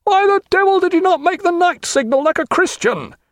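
A man's voice: extremely high pitch, lively intonation, brisk, no echo, studio quality, voice pushed up. A man voicing an irate senior navy captain in an audiobook, blustering and indignant as he hails another ship. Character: irate old senior navy captain bellowing across the water. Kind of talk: audiobook character voice.

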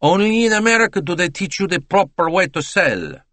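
A man's voice: high-pitched, sing-song, fairly quick, fairly bright tone, dry recording, pitched above the performer's own voice, full character voice. A man plays a beaming salesman in an audiobook, gushing and boastful. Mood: excited, proud. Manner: gushing, eager, philosophical. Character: voluble, beaming, boastful Italian car salesman.

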